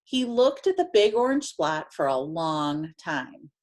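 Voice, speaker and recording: Female storybook reader, very lively pitch, emphatic delivery aimed at young children. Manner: animated, emphatic storytelling with drawn-out phrases. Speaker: teacher reading aloud to children. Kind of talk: expressive picture-book read-aloud.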